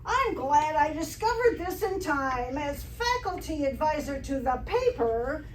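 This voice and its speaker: A woman playing a witty, sardonic high school English teacher in an amateur stage re-creation of a radio comedy, with dry delivery. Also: very animated, unhurried. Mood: wry narration. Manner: dry, wry, sarcastic. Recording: roomy distant stage recording, faint noise.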